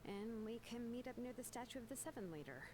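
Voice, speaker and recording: A woman voicing a gentle, earnest young adventurer in scripted video game dialogue. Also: a little high, lively intonation, quick pace, heavy background noise, natural voice.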